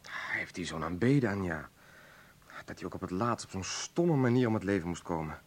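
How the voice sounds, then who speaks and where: An actor playing a tense, weary hospital doctor in a radio drama, brooding and defensive, with a husky voice. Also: male voice, deep voice, animated, brisk. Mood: subdued, defensive. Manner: brooding, pleading, easily agitated. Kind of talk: radio play acting.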